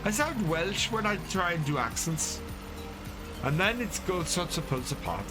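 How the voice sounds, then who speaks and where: A man's voice: sits high, very animated, normal speed. Chatty man streaming a farming game. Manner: chatty, reads out and thanks chat between game tasks. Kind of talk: casual live-stream gameplay chat. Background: game music.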